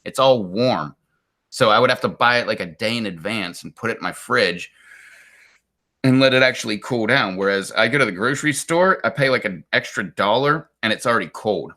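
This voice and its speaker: Man in his forties, rambling casual chat, lowish voice, bright and forceful tone. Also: expressive, fairly quick. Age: 40s. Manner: rambling and exasperated, heavy use of "like". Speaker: live stream host.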